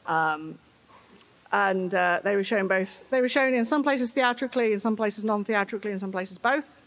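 A woman's voice: medium pitch, normal speed. A woman giving a conference talk in a wry, humorous way, laughing often.

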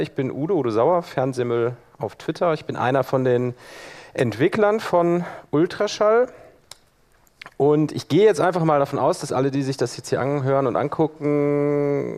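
A man's voice: medium pitch, darkish tone. A man with a mid-range voice leads a hands-on workshop in front of a room. He speaks informally, like someone explaining his own workflow step by step. He thinks aloud as he demonstrates. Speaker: workshop presenter. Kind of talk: informal live software tutorial. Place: live talk in a conference room.

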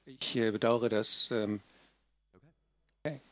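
A man interpreting a conference panel live, speaking in halting pieces and often correcting himself. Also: on the low side, fairly even intonation, pushed and bright. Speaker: simultaneous interpreter.

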